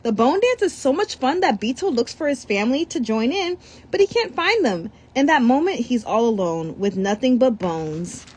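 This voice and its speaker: A woman reading a children's picture book aloud, animated, sometimes tripping over words and adding her own comments. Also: on the high side, moderate pace, breathy edge. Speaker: storybook reader.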